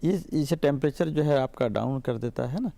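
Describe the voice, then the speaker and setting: A man's voice: mid-range voice, normal speed, gravelly, mellow, no room sound. A man speaking as a doctor, giving practical medical advice on a panel show. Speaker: doctor, studio guest expert.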